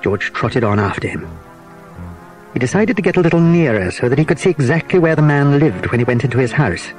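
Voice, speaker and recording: A man's voice: mid-range voice, expressive, fairly quick. Man narrating a children's mystery, music behind. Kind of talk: scripted children's story narration.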